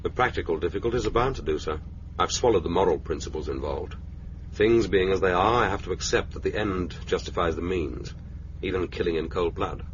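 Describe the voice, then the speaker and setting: An actor playing a cool, dry-witted secret agent in a radio drama, clipped, understated and wry. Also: male voice, deep voice, fairly quick, noisy background, close to an everyday voice. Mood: cool, resigned. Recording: dull radio drama recording with music.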